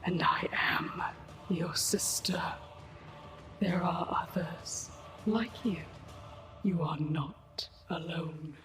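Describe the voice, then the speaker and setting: A woman playing an eerie, knowing monstrous older sister in a dark fantasy audio drama, with a smooth, whispery voice and slow, deliberate delivery. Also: fairly low pitch. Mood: coaxing, solemn. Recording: noisy ambience behind the voice.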